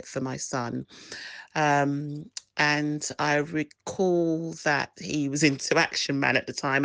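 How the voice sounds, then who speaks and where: Middle-aged person remembering growing up, slow and hesitant, gravelly, dry close sound. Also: medium pitch, fairly bright tone, no room sound. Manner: hesitant, lots of pauses. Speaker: interviewee. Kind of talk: recalling childhood in an interview.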